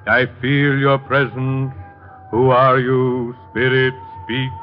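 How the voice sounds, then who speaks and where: A man's voice: mid-range voice, flat delivery, slow, faint music behind, lightly acted. Man playing a pompous psychic professor, tinny radio. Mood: solemn, theatrical. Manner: formal, theatrical, fussy. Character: pompous phony male psychic professor, easily flustered. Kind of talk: 1940s radio comedy-drama acting. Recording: tinny, narrow 1940s radio recording.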